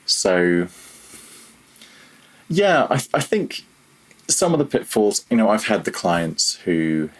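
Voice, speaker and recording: Man in his thirties, very deep slightly husky voice, casual interview talk, halting, self-correcting. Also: very animated. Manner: halting, self-correcting, candid, chuckles at himself. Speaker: podcast guest, freelance audio developer.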